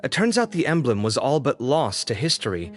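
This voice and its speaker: Male actor as a brisk scholar, middle voice, dry and level, score underneath. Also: mid-range voice, big pitch swings, lightly acted. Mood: matter-of-fact. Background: game music.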